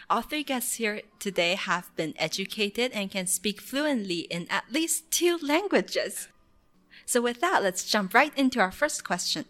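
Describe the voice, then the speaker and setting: A woman's voice: medium pitch, a bit bright. Upbeat woman podcast host. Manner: upbeat, asks questions. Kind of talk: hosting a casual panel discussion.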